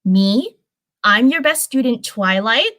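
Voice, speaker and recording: A woman's voice: a little high, lively intonation, slow pace, fairly bright tone, no room sound, studio quality. A woman voicing a bookish young female unicorn student, earnest and blunt, in a storybook reading. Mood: surprised.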